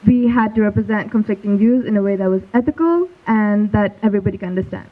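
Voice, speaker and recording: A woman's voice: medium pitch, fast. A young woman from Malaysia presenting a school project earnestly and steadily. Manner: earnest, steady recitation from notes. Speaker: student presenter. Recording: tinny phone-like sound.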